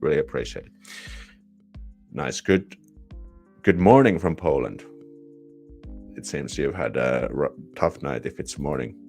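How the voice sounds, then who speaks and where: A man's voice: extremely low pitch, animated, measured pace, gravelly, pauses often, darkish tone, soft background music. A man with a Finnish accent hosting a casual live-stream Q&A, chatty and rambling with false starts and self-deprecating asides. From Finland.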